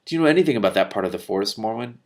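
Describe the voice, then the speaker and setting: A man voicing a decisive, wry king in a storybook, brisk and commanding. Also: on the low side, expressive, lightly acted.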